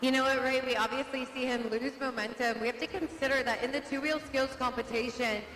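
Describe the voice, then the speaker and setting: A woman working as trackside reporter, explaining a truck rollover in measured live analysis. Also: high-pitched, fairly even intonation, a bit bright. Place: indoor arena. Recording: echoey arena PA with crowd noise.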